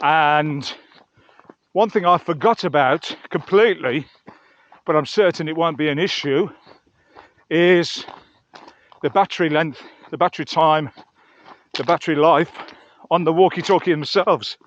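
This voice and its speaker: A man's voice: on the high side, unhurried, pauses often, lo-fi sound. A man talking casually to camera as an outdoor vlogger, chatty and narrating his own progress on a trail. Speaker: outdoor vlogger and gear reviewer. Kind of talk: casual vlog talk to camera.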